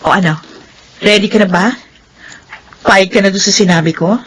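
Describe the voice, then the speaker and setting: A woman's voice: mid-range voice, fairly even intonation, normal speed, husky, lightly acted. A Filipino woman playing a pushy, brash friend in a radio drama, exclamatory and coaxing. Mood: breezy coaxing. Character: Hazel, pushy, brash female friend tempting with work.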